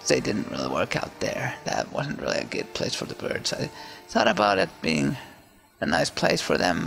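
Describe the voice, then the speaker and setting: Laid-back guy mumbling thru his photoshop speedart, lots of uhs, gravelly low drawl. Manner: relaxed, hesitant, many fillers and restarts. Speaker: digital artist narrating his speedart. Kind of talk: casual voice-over commentary.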